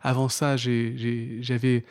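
A man's voice: medium pitch, level tone, slow pace, darkish tone. A man from Portugal with a Portuguese accent and Portuguese as his first language, a guest on a podcast, talking casually with many fillers and restarts. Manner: hesitant, many fillers and restarts, laughs easily. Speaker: podcast guest, startup founder. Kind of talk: casual interview.

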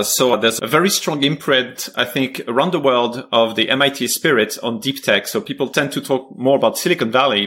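A man hosting a podcast interview, speaking conversationally with frequent pauses. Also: medium pitch, a bit bright. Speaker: podcast host.

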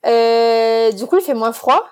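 Woman, 20s, higher-pitched voice. Chatty interviewee explaining things in a relaxed, conversational way. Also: takes it slowly, clear, resonant tone. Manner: chatty, explanatory, laughs easily. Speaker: interview guest. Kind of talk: casual personal interview.

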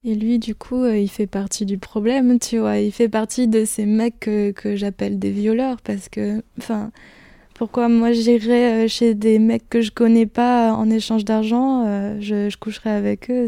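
A woman's voice: fairly high pitch, creaky, warm tone, dry recording, clean studio sound. A woman in her twenties telling her own story in a candid interview, hesitant, with many fillers and restarts. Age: 20s. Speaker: interviewee giving a personal testimony. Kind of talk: candid personal account.

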